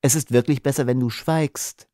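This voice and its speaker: A man's voice: medium pitch, fairly even intonation, moderate pace, mellow, dry recording, clean studio sound, pitched above the performer's own voice, close to an everyday voice. Man reading as shrewd, matter-of-fact Beaver. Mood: cool warning. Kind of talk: audiobook character acting.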